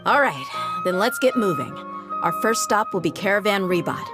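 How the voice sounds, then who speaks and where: A woman's voice: mid-range voice, sing-song, normal speed, close to an everyday voice. An actress playing a tough, easygoing female mercenary leader who talks frankly and casually. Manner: frank, laid-back. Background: faint game music.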